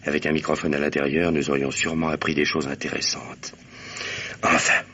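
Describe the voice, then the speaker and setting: An actor playing a curt, authoritative, impatient police commissioner in a radio mystery play. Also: male voice, very deep voice, little pitch movement, fairly quick, pushed and bright, husky, full character voice. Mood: calm, watchful. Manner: curt, commanding. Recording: dull, noisy old radio drama recording.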